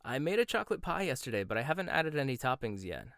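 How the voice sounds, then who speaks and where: A man performing a gentle, intimate ASMR roleplay monologue, hesitant at times and teasing at others. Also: medium pitch, big pitch swings, gravelly, no echo, clean studio sound.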